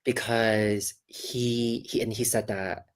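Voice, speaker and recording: A woman voice coach talking casually on a video call, animated and demonstrative, with many fillers and restarts. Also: rumbling low, slow. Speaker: voice coach guest. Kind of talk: casual interview, teaching.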